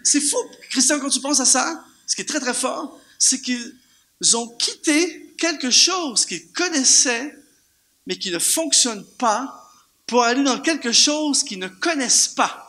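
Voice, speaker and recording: Animated Canadian French preacher, high bright voice. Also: male voice, unhurried. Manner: animated, repeats words for emphasis, rhetorical questions. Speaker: guest preacher. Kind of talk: lively sermon. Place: church, before a congregation.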